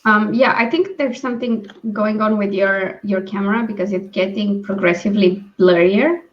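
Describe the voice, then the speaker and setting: A woman hosting a casual book discussion over a video call, thinking aloud in halting phrases. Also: mid-range voice, steady tone. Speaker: book read-along live stream host. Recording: dull, lo-fi stream audio.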